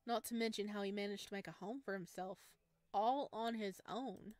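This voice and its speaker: A woman's voice: mid-range voice, moderate pace, darkish tone, dry recording. A woman doing a visual novel let's play, reading aloud and reacting in a chatty, playful, giggly way. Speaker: game streamer.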